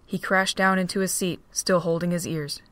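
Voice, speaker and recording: Woman narrating steady, lowish voice, dry recording. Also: moderate pace, no room sound. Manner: steady storytelling. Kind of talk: scripted narration of a fan audio drama.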